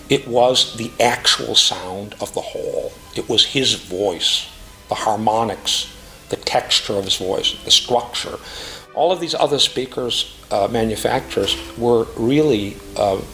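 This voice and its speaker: A middle-aged man speaking earnestly and emphatically in a reflective interview testimonial, phrasing deliberately. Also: mid-range voice, sharp tone, faint music behind, poor audio. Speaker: classical music professional giving a testimonial.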